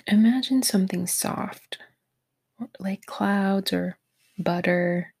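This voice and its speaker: Slow, breathy woman guiding meditation. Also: medium pitch. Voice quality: soft, breathy, often near a whisper. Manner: gentle, unhurried, encouraging. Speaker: meditation guide, podcast host. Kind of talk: guided meditation, soothing address to listener.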